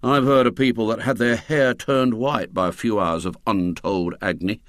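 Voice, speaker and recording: A man's voice: lowish voice, fairly even intonation, moderate pace, no echo, natural voice. Man putting on a whiny self-pitying schoolboy. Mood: aggrieved. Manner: melodramatic, self-pitying, exaggerated complaints. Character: sulky dramatic schoolboy, outlaw gang leader.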